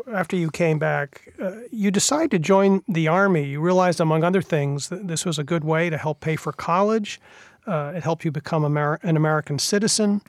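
A man hosting a public radio interview, asking questions in a measured, thoughtful way. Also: a little high, dry recording, studio quality. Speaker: radio host.

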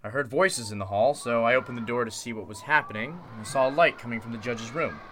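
A man acting as a suspect in an old-time radio mystery, defensive yet commanding. His mid-pitched voice is bright and tense, with firm, dramatic phrasing. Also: fast talker, slight background noise, natural voice.

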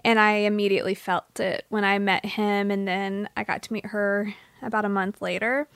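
A woman's voice: medium pitch, level tone, moderate pace, gravelly, a bit bright, dry recording, clean studio sound. A woman co-hosting a casual podcast interview, speaking in a heartfelt, slightly teary way. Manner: heartfelt, emotional, a little teary.

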